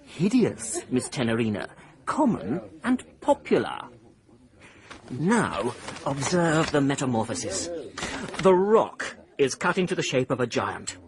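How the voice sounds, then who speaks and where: A man's voice: medium pitch, very animated, unhurried, a crowd in the background. An actor playing a fussy, conceited landscape improver in a radio drama, condescending and chuckling as he lectures. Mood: smug.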